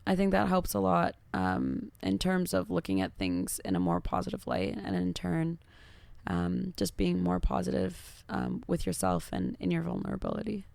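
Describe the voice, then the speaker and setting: A woman with a naturally raspy voice, chatting openly and reflectively as a podcast guest, with lots of fillers. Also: on the low side, big pitch swings, moderate pace, darkish tone, no echo. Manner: open, reflective, heavy use of fillers. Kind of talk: casual podcast chat.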